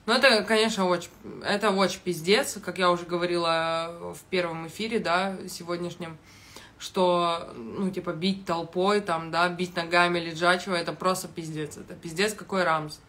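A young woman giving casual video commentary in a blunt, halting, heavily sweary manner, recorded on a phone in a kitchen. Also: lowish voice, fairly even intonation, fairly bright tone. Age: young adult. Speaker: reality show commentator. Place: small kitchen indoors. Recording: noticeable background noise.

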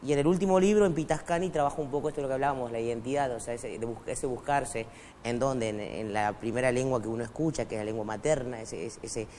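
A man's voice: medium pitch, quick pace, a little mellow, a little hiss or hum. A middle-aged man from Argentina, raised in Bolivia, whose first language is Quechua, talking as a guest writer in a TV studio interview on a lapel mic, with many fillers and restarts. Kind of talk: casual interview talk.

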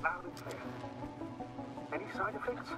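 An actor playing a composed, dry space mission commander in a video game, talking formally through a radio filter. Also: male voice, high-pitched, unhurried. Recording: dull radio link, loud music behind.

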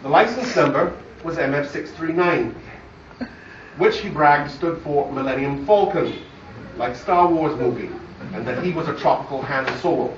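A man with a Barbadian accent reads a prepared tribute in a formal, measured way, his voice slightly high. It is picked up from far off in a very echoey space, through a muffled phone recording. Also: background noise. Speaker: son delivering a eulogy for his father. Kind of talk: scripted memorial speech to an audience. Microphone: phone camera far from the speaker.

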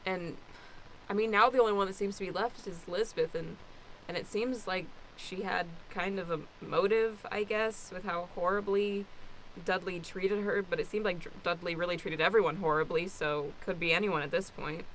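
A woman's voice: fairly low pitch, steady tone, moderate pace, fairly bright tone, close to an everyday voice, low-quality recording. A woman playing an anxious, hesitant young heroine in an improvised radio play, stammering in a natural, everyday way. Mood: uncertain. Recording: faint background noise.

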